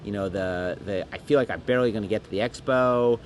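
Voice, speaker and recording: Casual middle-aged male podcast co-host, rambling and joking, hoarse voice, faint background hum. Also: fairly low pitch, fast. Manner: rambling, self-interrupting and joking. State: voice going hoarse. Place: busy conference venue.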